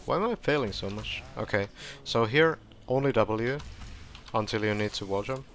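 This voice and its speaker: A man casually talking through a game walkthrough, relaxed and rambling with fillers, his voice going hoarse. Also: lowish voice, moderate pace, slight background noise. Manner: relaxed, rambling, with frequent fillers and self-corrections. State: hoarse, clearing his throat. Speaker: gameplay tutorial streamer. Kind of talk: casual gameplay walkthrough commentary.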